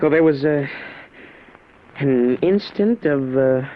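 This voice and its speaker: A man acting in a 1950s radio drama as an earnest, awed young man, with halting, stammering, breathless delivery. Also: mid-range voice, a little hiss or hum, natural voice. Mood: dazed, halting. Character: earnest, awed young man, time-travel experiment subject. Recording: dull vintage radio broadcast recording.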